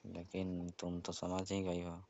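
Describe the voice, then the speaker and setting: A man's voice: low-pitched, completely flat delivery, slow, gravelly, darkish tone, heavily acted. A man playing a sly, domineering employer in a staged phone-call skit, insistent and pressuring. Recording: dull noisy phone-call recording with clicks.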